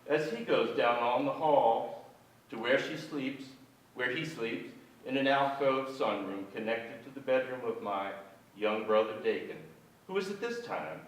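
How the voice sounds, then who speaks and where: An actor in his sixties playing a reflective son who recounts his troubled family in a measured literary stage reading. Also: mid-range voice, animated, lightly acted. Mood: somber, reflective. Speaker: actor reading a short story. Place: on a theater stage, indoors. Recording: very echoey theater, distant, noisy.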